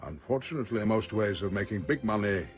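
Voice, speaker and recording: A deep, widely swooping male voice from an actor plays a rich, calculating villain in a radio play. He is formal and deliberate, sure of himself and quietly menacing, with music behind him. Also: expressive, natural voice. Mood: coolly menacing. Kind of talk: radio drama acting.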